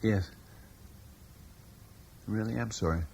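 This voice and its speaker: An actor playing a humble, devoted soldier husband in a radio drama, plain-spoken and questioning in an understated way. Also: male voice, on the low side, a little slow, creaky, darkish tone, slight background noise. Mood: contrite.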